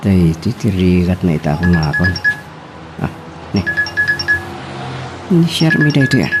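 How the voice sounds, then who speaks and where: A man's voice: deep voice, big pitch swings, moderate pace, loud music behind the voice. A man with an Ilocano accent playing a casual, evasive young man in an amateur audio drama. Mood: hesitant, casual. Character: easygoing, evasive young man, a son and boyfriend.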